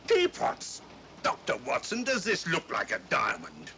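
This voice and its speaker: Casting: self-important policeman, blustering, lively intonation, old mystery film with clear background noise. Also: a little slow, exaggerated voice. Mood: exasperated. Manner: blustering, sarcastic. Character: brusque, officious, self-important male police inspector. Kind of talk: 1940s mystery film acting. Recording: noisy old film soundtrack, train rumble.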